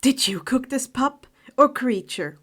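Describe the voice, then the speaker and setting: Loud playful godfather type, grown man, played by a woman, excitable, fantasy storybook. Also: high voice, unhurried, exaggerated voice. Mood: curious.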